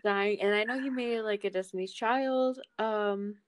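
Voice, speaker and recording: A woman hosting a casual podcast chat about a TV show, informal and animated in her reactions. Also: mid-range voice, a little slow, background noise. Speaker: podcast host.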